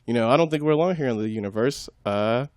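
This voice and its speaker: A man's voice: mid-range voice, quick pace, no echo, clean studio sound. A man appearing as a podcast guest, talking in an easygoing, laid-back way. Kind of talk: casual chat. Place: small home studio room.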